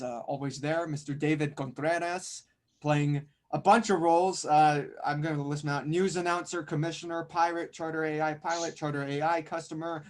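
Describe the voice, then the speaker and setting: A man with a fairly high-pitched voice hosts a script table read. He introduces things and narrates scene directions as the writer and reader.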